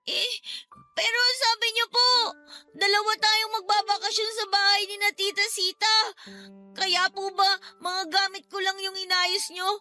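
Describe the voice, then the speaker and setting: A woman's voice: extremely high pitch, little pitch movement, measured pace, bright, forceful tone, soft background music, full character voice. A woman playing a sweet, anxious young niece in a radio drama, speaking politely and pleadingly. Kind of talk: Tagalog radio drama acting.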